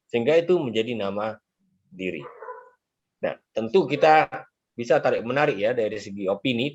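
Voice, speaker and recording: A pastor explaining Bible translation in a measured way, his voice often dropping quietly at the ends of phrases. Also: on the low side, husky, muffled recording. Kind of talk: answering questions in an online seminar.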